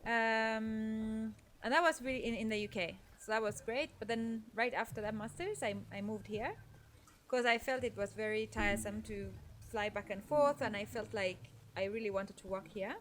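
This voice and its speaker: A woman's voice: a little high, normal speed, fairly bright tone, a little hiss or hum. A woman from Norway, a podcast guest telling her life story in a thoughtful, conversational way, with frequent fillers and self-corrections.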